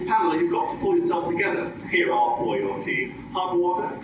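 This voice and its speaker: A man's voice: sits high, very animated, brisk, far from the mic, highs cut off, background noise. A man playing a pompous, stiff upper-class English husband in a radio comedy parody. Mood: prim, indignant. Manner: pompous, prim, exclamatory. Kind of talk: old-time radio comedy sketch. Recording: echoey stage recording, music behind.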